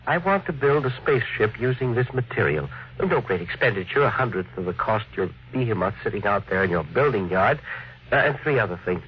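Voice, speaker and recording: An actor playing an earnest, courteous, dreamy inventor who is hesitant yet insistent, in 1950s radio drama style. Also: male voice, fairly low pitch, lively intonation, fairly quick, natural voice. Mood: polite, measured. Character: earnest, polite, dreamy male inventor, quietly persistent. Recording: dull vintage radio recording.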